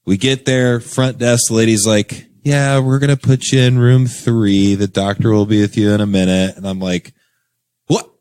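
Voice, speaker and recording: A young man with a fairly low voice tells a story in a loose, easygoing way, stacking up fillers and little laughs. He wanders from point to point like a podcast guest chatting between friends. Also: fairly even intonation, normal speed, darkish tone, clear, resonant tone. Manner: rambling, filler-heavy, laughs through stories. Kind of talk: casual storytelling chat.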